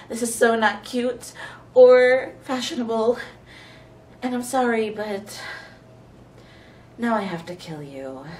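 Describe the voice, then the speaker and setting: A man acting a whiny, fashion-obsessed, indignant youth in a melodramatic audition monologue. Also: very high-pitched. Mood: resigned menace. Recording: distant microphone, noisy room.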